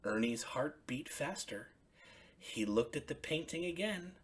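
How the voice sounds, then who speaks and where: A woman storyteller reading a children's bedtime story expressively, in simple, deliberate phrases. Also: deep voice, animated, husky.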